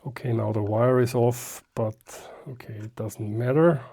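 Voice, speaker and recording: Slow-talking man with a mellow voice. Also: medium pitch, fairly even intonation, creaky, breathy edge. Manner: hesitant, thinking aloud, muttering to himself. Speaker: hobbyist host of a restoration video. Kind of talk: casual unscripted how-to commentary while working.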